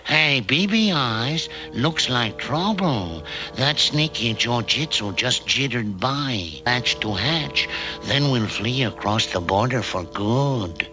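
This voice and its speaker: A man's voice: medium pitch, animated, slow, poor audio. An actor voicing a bossy, scheming male crook in a 1960s TV cartoon, barking impatient orders. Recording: music bed under dialogue.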